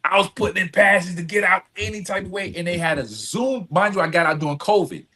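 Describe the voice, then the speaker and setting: Male interview guest, voice a little high, speaks in earnest, emphatic, repetitive phrases. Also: animated, a little fast, pushed and bright. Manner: earnest, emphatic, repeats phrases, often checks with "right?". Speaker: podcast guest, community service advocate. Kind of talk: reflective personal storytelling in conversation.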